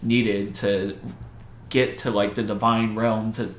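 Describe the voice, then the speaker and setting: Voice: medium pitch, slow pace. A podcast co-host chatting casually and swearing freely, acting out quoted lines while retelling stories. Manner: sweary, animated quoting of characters. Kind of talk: casual chat, retelling a video game plot. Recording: echoey room.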